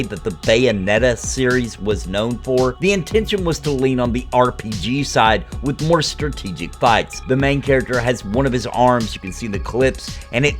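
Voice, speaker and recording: Male gaming channel host, mid-pitched with animated inflection, enthusiastic commentary, faint music underneath. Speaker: video game channel host. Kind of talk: scripted video game news commentary. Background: background music.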